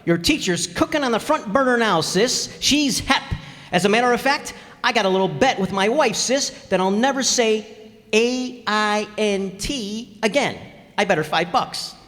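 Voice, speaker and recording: Out of a reverberant hall comes a blustery married fellow from an old-time radio comedy, played by a man. He puts on pompous, elaborate diction and keeps stumbling back into folksy slang. His pitch swoops about in a lively, animated way. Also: moderate pace. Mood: cocky. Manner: pompous grand words slipping into folksy slang, stammering. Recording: echoey hall stage recording.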